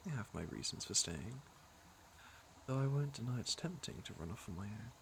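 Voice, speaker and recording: A man's voice: mid-range voice, slight background noise, low-quality recording. A man playing a teasing, flirtatious fantasy villain with a caring side, in an intimate ASMR roleplay. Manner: smooth-talking, teasing, intimate. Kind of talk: ASMR roleplay acting, intimate second-person address.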